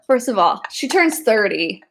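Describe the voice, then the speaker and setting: A woman's voice: fairly high pitch, very animated, measured pace, creaky. A woman co-hosting a podcast, chatting casually and laughing often. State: pregnant. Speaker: podcast co-host. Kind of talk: casual chat.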